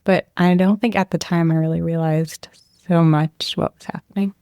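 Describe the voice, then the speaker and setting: A young woman, a medical resident, answering interview questions in a soft, reflective way, often hedging with "I think" and "like.". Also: fairly low pitch, fairly even intonation, moderate pace, clear, resonant tone, no echo, studio quality. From Israel, raised near Boston.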